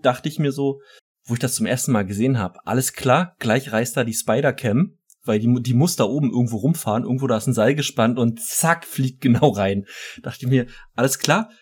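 A man's voice: medium pitch, darkish tone, slightly rough. A man co-hosting a podcast, chatting in an informal, conversational way. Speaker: podcast co-host. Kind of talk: casual chat.

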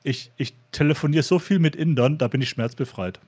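A man co-hosting a casual talk, speaking haltingly and often repeating words as he searches for phrasing. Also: on the high side, a little mellow. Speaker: podcast co-host. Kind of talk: casual chat.

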